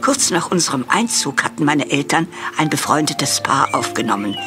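An elderly farm woman in a German radio play. Her pitch moves freely, yet she stays prim, dry and measured, wistful underneath. Music plays behind her. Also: expressive. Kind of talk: German audio drama acting.

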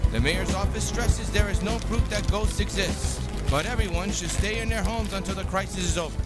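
An actor playing a formal radio news anchor reading a bulletin in an audio drama. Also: male voice, high voice, brisk, over loud music, lightly acted.

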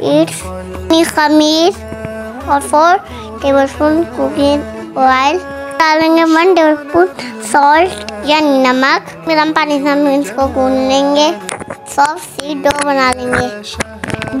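A young-sounding female cooking host, narrating a recipe step by step in voice-over. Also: sits high. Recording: music behind the voice. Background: background music.

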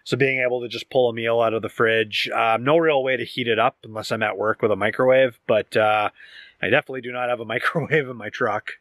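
A man's voice: mid-range voice, steady tone, fast talker, fairly bright tone, no echo. Casual man vlogging, dry close sound. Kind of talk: casual outdoor vlog chat. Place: outdoors beside and inside a parked truck. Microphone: handheld camera at arm's length.

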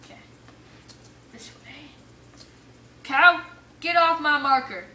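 A woman giving casual let's play commentary, excitable, exclaiming and muttering to herself as she plays. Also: high voice, slow pace. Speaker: gamer playing an adventure map for viewers. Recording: roomy echo, distant mic, faint noise.

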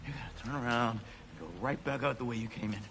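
A man's voice: mid-range voice, fast talker, close to an everyday voice. An actor playing a desperate, protective middle-aged father in a video game, raw and grieving. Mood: threatening, angry. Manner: raw, emotional, threatening. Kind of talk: dramatic video game acting. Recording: clear rainy ambient noise.

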